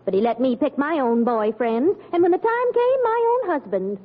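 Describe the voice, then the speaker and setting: An actress playing a patient, sensible middle-aged wife and mother, gently exasperated, in a 1940s radio comedy. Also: high-pitched, sing-song, normal speed. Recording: tinny old radio, audience behind.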